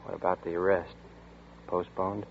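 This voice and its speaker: A man's voice: low voice, flat delivery, moderate pace, vocal fry, slight background noise. An actor playing a weary, defeated man who narrates his own ordeal, in 1940s radio acting. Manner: weary, tense first-person narration. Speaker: narrator and lead character. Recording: tinny old radio broadcast with music.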